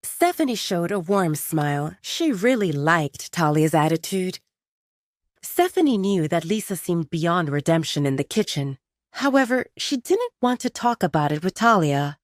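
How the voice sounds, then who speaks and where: A female narrator reads a scripted drama story. Her delivery is animated, with plenty of rise and fall, and the sound is dry with no room in it. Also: on the low side, no echo.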